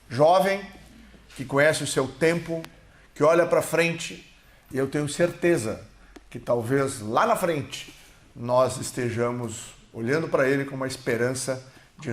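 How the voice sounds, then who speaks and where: A man's voice: medium pitch, a little hiss or hum. A man with a Brazilian Portuguese accent, giving an emphatic political seminar speech with slides, his voice swelling and dropping. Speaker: seminar speaker. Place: lecture hall. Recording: echoey hall.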